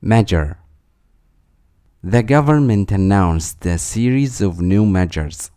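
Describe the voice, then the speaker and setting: A man with a low, mellow voice reads a vocabulary list at a very slow, deliberate pace. He says each word twice, then reads an example sentence. The sound is dry and close. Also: expressive, smooth, no room sound. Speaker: vocabulary reader.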